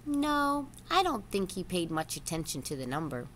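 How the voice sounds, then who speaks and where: A woman's voice: lowish voice, very animated, normal speed, a bit bright, slight background noise. A woman voicing a small, modest female animal in a storybook, speaking carefully and a little hesitantly. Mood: earnest, unsure. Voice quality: small-sounding.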